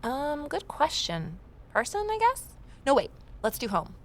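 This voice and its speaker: A woman's voice: high voice, huge pitch swings, a little slow, slight background noise, heavily acted. A woman playing a curious, determined investigator who narrates an audio drama in a reflective, conversational way, with ambience behind her. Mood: curious, hesitant. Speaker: narrator and lead character. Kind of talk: first-person audio drama narration and dialogue.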